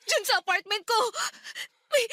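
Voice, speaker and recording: Scared young woman in a radio drama, super high sharp voice, anxious and shaky. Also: expressive, normal speed, full character voice. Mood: panicked, tearful. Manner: anxious, tearful, hesitant. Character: frightened young woman being stalked in her apartment. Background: background music.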